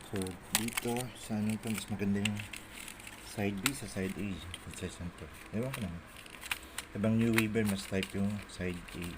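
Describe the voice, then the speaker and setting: A man's voice: fairly low pitch, steady tone, normal speed. A middle-aged Filipino man with a Manila Tagalog accent, rambling casually and muttering to himself as he shows his collection on a handheld phone. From Philippines. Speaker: cassette collector showing a collection. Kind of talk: casual show-and-tell chat, off the cuff. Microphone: phone held in hand while filming. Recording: noisy handheld phone camera audio.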